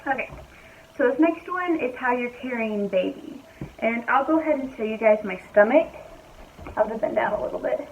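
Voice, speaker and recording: A young woman chats casually from home, her voice a little high and slightly raspy from hoarseness. She talks in an easygoing, rambling way, thinking aloud as she goes. The recording sounds a bit muffled and lo-fi, with a faint hiss behind her. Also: expressive, moderate pace. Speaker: vlogger. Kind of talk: casual chat to camera. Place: at home, indoors.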